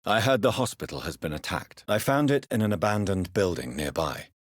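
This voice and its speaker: Video game lead: grave British vampire doctor, deep and husky, formal, courteous phrasing. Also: male voice, expressive, no echo, clean studio sound. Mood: concerned, courteous. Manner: formal, measured, polite questioning. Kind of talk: dramatic video game dialogue.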